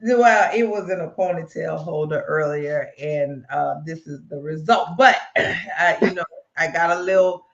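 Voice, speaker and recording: A woman co-hosting a live talk stream with a slightly raspy voice, speaking in an easygoing, chatty way. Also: deep voice, measured pace, highs cut off. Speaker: co-host. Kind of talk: casual live-stream chat.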